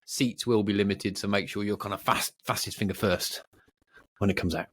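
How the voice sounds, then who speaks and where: A man co-hosting a podcast, chatting casually and off the cuff in a rambling, playful, excitable way. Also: on the low side, normal speed, husky. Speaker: podcast co-host. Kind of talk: casual unscripted podcast chat.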